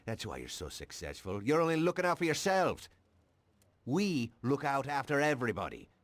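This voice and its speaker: An actor playing a cynical, righteous police detective in a video game, sarcastic and challenging. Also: male voice, mid-range voice, big pitch swings, moderate pace, no room sound. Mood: scornful.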